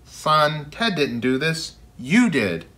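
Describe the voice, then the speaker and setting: A man's voice: a little high, sing-song, takes it slowly, pushed and bright, full character voice. A man voicing a boy's skeptical father in a picture book, dry and emphatic, easily exasperated.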